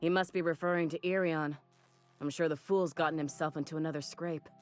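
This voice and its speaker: An actress playing a brisk, no-nonsense space station trader in a video game, wry, businesslike and faintly exasperated. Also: female voice, low voice, fairly even intonation, soft background music, close to an everyday voice. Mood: wry exasperation. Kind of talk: video game dialogue acting.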